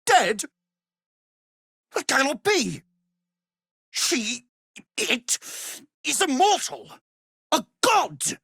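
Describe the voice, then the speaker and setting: Casting: scheming video game wizard, condescending and theatrical, unhurried delivery, very dry close sound. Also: male voice, very high voice, very animated, vocal fry, bright, forceful tone, no echo, heavily acted. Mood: anguished fury. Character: haughty, scheming adult male wizard, vain and menacing. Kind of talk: video game fantasy acting.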